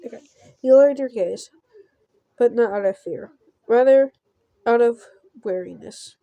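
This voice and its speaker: A woman reading visual novel text aloud in an amateur let's play, halting and often repeating words as she goes. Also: fairly high pitch, no room sound, lo-fi sound.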